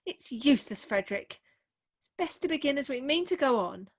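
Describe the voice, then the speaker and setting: A woman playing a prim, excitable young Victorian bride in a comic play, with an English accent and emphatic, theatrical delivery, recorded at home over a video call. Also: sits high, a little fast. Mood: prim, firm. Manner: theatrical, emphatic, indignant propriety. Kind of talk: comic stage-play acting.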